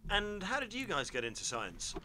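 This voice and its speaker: A man's voice: sits high, wildly swooping pitch, moderate pace, sharp tone, dry recording, poor audio. A man presenting an interview, putting scripted questions to his guests.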